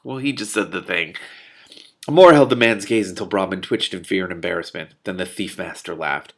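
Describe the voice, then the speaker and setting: A man's voice: sits low, moderate pace. A man reading a novel aloud expressively as host, breaking off with amused casual comments.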